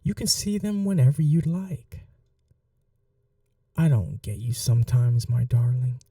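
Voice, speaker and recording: A performer voicing a possessive vampire noble in an ASMR roleplay, speaking softly and slowly with a teasing, condescending cruelty. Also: medium pitch, fairly even intonation, no room sound, studio quality, far from the mic. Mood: tender, possessive. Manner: slow, soft, breathy, condescending teasing.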